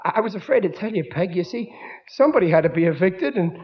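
Casting: sheepish, bumbling working-class husband, high voice with big swings, vintage radio comedy on a noisy recording. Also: male voice, expressive, fast talker. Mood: sheepish, tender. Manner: blustering, colloquial, stammering when flustered. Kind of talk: 1940s radio comedy acting. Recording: muffled, noisy old radio recording with clicks.

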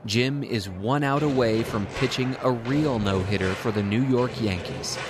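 A man's voice: medium pitch, moderate pace, background noise. A man narrating a scripted dramatic story as its storyteller. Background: music.

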